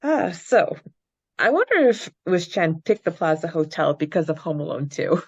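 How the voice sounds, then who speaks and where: Low-pitched young woman, slightly dull audio. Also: sing-song, moderate pace, breathy edge. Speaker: podcast co-host. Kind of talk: reading a recap, then casual book-club chat.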